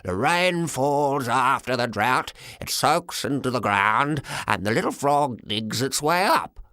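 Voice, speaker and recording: A man voicing a frail, kindly old grandfather with a trembling, halting voice in an audiobook story. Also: medium pitch, animated, bright, forceful tone. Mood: happy, earnest. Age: older.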